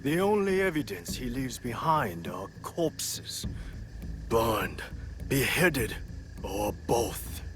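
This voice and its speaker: A proud disowned warrior man in a game cutscene. He speaks slowly and impassioned, with very animated pitch. He broods theatrically over clear background music. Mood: brooding. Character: proud, bitter, defiant disowned warrior monk's son. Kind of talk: dramatic video game cutscene acting.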